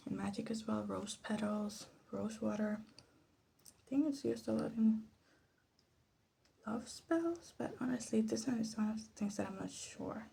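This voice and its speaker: Hushed, creaky-voiced woman doing soft ASMR commentary. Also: mid-range voice. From Latin America. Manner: hushed, often slipping into a whisper; hesitant, musing, self-deprecating. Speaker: ASMR video host. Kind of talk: soft-spoken ASMR commentary while demonstrating. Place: bedroom. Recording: faint background noise, clicking sounds.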